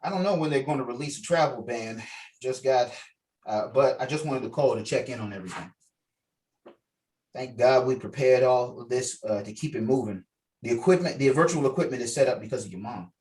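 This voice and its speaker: Fatherly martial arts mentor, read by a man, medium pitch, heard off-mic on a video call. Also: brisk, a little mellow, distant mic, natural voice, poor audio. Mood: businesslike. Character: martial arts master calling his young student, fatherly. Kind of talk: script table read.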